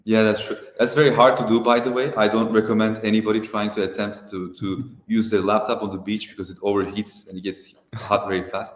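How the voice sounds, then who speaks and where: A young man from Turkey chats as a guest on a live stream, relaxed and unhurried, filling pauses with "uh". His voice sits very low. The video-call audio carries a noticeable room echo. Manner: relaxed, hesitant, many "uh" fillers. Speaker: guest, software founder. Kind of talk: casual interview over a live stream.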